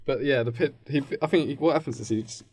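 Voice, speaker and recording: Man with creaky voice, halting casual chat. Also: mid-range voice, a little mellow, slight background noise. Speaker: podcast co-host.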